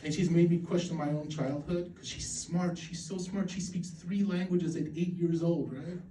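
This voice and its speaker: A man's voice: fairly high pitch, steady tone, normal speed, mellow, slight background noise. A man in his forties from Poland doing a stand-up routine, rambling and self-mocking, with crude punchlines and lots of restarts. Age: 40s. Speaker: stand-up comedian. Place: comedy club stage. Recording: roomy venue sound from a distance.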